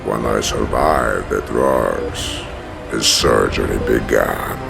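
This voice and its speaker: A man playing a huge, menacing, obsessed prison-bred villain in a comic dub, narrating with slow, grandiose deliberation in a rumbling, gravelly voice. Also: over music, exaggerated voice. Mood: brooding, resolute. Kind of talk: dramatic first-person comic dub narration.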